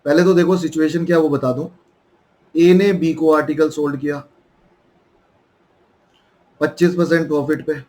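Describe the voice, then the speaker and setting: A man's voice: a little high, fairly even intonation, normal speed, pauses often, a little mellow. A young man with an Indian accent and a sore throat, teaching a math lesson in a coaxing way and calling his students children.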